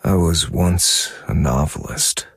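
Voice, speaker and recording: A troubled novelist turned investigator in a video game, brooding over his lost memory. His voice is extremely low and very husky, with a gravelly edge. He delivers the monologue gravely and unhurriedly. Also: no echo, clean studio sound, exaggerated voice. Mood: somber. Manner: slow, grave, measured. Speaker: game character narrator. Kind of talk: dramatic scripted monologue.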